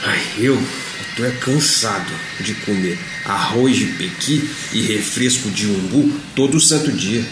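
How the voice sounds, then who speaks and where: A man playing a restless, grumbling circus juggler in an audio drama, with a Brazilian accent and halting, repetitive complaints. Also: fairly low pitch, lightly acted. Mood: fed up. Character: grumbling, restless male circus juggler stuck without work. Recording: roomy, noisy amateur recording.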